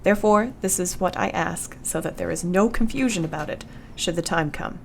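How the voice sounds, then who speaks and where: An actress playing a brave, devoted young wife in a radio play, speaking solemnly and formally. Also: female voice, on the low side, moderate pace, gravelly, a little hiss or hum. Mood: solemn pleading.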